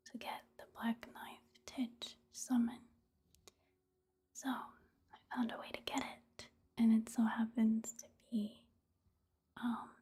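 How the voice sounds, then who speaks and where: A woman giving soft-spoken ASMR game commentary in a hushed, breathy whisper, in a gentle, casual, unhurried manner. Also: fairly high pitch. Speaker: gaming ASMR video host.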